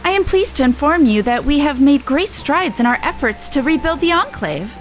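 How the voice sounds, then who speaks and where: A woman's voice: high-pitched, animated, moderate pace, soft background music. A woman voicing a courteous, formal quest-giver, reading video game dialogue aloud. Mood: pleased, formal. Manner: polite, formal, expository.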